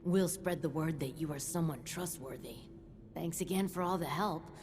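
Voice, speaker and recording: A woman's voice: deep voice, moderate pace, a little mellow, big-room sound, a little hiss or hum, lightly acted. An actress voicing a grateful woman vault dweller in a video game, friendly and thankful.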